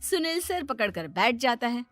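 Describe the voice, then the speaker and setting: A woman's voice: a little high, big pitch swings, moderate pace, fairly bright tone, soft background music. A woman reading a scripted story as its narrator.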